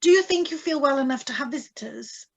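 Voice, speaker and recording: A woman's voice: sits high, animated, quick pace, highs cut off. A woman playing a kindly, careful hospital nurse in a radio drama read over a video call. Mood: cheerful. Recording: live video call reading.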